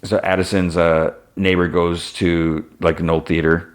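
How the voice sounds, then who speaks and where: A man's voice: low voice, level tone, measured pace. A man hosting a podcast, retelling a TV episode scene by scene in a loose, casual way and quoting the characters as he goes. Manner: retells scenes, briefly voicing characters in quotes; loose, with restarts and "he's like" quotes. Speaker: podcast host.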